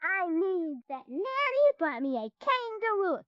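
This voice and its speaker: A stubborn little toddler girl in a dramatized story. The voice is extremely high and sharp, with slow, drawn-out words. The sound is close and dry. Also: very animated, dry recording, dull audio, heavily acted. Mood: insistent. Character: stubborn little two-year-old girl wanting a kangaroo. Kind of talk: dramatized lecture character.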